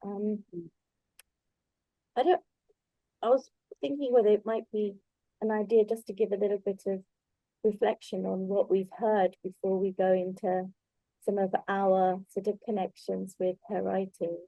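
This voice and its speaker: A middle-aged or older native Bengali-speaking woman giving a reflective, conversational talk, pausing and feeling her way through her thoughts. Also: mid-range voice, flat delivery, no echo, muffled recording. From Bangladesh in early childhood, then moved abroad. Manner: hesitant, thoughtful, halting phrasing. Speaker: invited speaker at a book launch.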